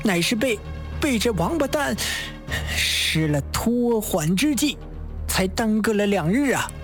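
A woman's voice: medium pitch, sing-song, unhurried, faint music behind. A woman voicing a distraught, hot-tempered father pleading in court, agitated, indignant and emphatic, in a storytelling performance. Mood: bitter, outraged.

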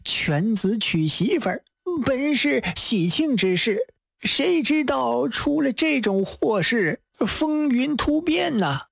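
A woman's voice: on the high side, expressive, takes it slowly, vocal fry, no echo, clean studio sound, exaggerated voice. A woman voicing a meek old male scholar, plaintive and deferential, drawing out his words in a storytelling performance. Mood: plaintive, aggrieved.

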